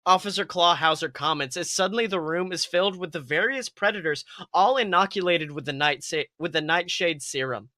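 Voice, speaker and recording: A man's voice: high voice, fairly quick, few pauses, bright, forceful tone, dry recording, studio quality. A man narrating a story as a podcast host, reading dramatically with theatrical emphasis.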